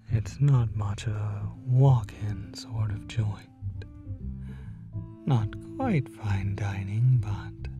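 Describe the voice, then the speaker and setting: A performer voicing a teasing, smooth-talking demon in an audio roleplay, murmuring slowly and condescendingly to the listener. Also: mid-range voice, far from the mic. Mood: teasing, coaxing. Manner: slow, drawn-out, breathy murmuring, teasing.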